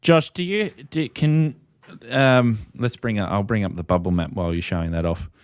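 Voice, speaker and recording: Male livestream host, slightly deep, casual and unscripted, recorded dry. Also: normal speed, smooth, no room sound. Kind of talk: casual live-stream chat about ham radio.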